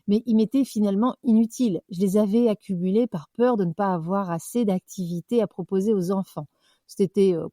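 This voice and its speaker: Young woman podcasting solo, soft warm voice, talks loose with lots of euh and bah, dry close sound. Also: medium pitch, no echo. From French-speaking, living abroad. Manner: conversational, with frequent fillers and self-corrections. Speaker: podcast host. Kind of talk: personal reflective monologue.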